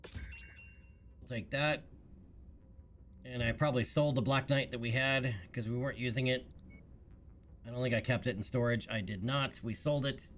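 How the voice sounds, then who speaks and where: A man's voice: medium pitch, fairly even intonation, moderate pace, pauses often, slight background noise. A man streaming a game, thinking aloud in casual chat while congested from a recent cold. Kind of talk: casual gameplay commentary.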